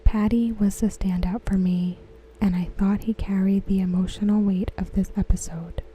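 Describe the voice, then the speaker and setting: Very mellow, softly spoken ASMR woman. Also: medium pitch, steady tone, slight background noise, rough recording. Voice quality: soft, breathy, near-whispered. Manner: gentle, hushed, measured delivery. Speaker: ASMR host. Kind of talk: softly spoken ASMR read-aloud of forum comments.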